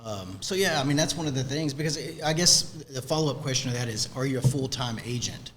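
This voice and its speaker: A man's voice: medium pitch, fast, fairly bright tone. A man with a Texan accent, a real estate agent speaking earnestly as a podcast guest and trailing off at phrase ends. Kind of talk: casual chat.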